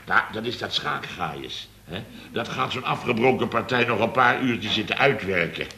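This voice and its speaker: An actor playing a blustering, indignant boss of a building firm in a Dutch radio comedy, exclaiming and grumbling. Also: male voice, fairly low pitch, animated, moderate pace, vocal fry, bright, forceful tone, slight background noise, exaggerated voice. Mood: exasperated. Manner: exclamatory, grumbling, tags sentences with "hè" and "zeg".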